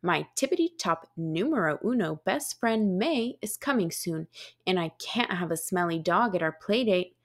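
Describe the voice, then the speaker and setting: Woman as an excitable, dramatic little storybook girl. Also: lowish voice, moderate pace, voice pushed down. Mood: excited, dramatic. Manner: dramatic, exclamatory, stretches words.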